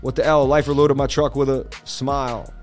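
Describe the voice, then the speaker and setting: A man's voice: medium pitch, flat delivery, moderate pace, a little mellow, faint music behind. A man hosting a casual crypto live stream, rambling and laughing a lot.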